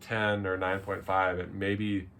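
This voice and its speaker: A man's voice: sits low, little pitch movement, a little slow, creaky, a bit bright. A man co-hosting a review show in a casual, rambling, conversational style, with some noise in the recording. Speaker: co-host of a visual novel review show.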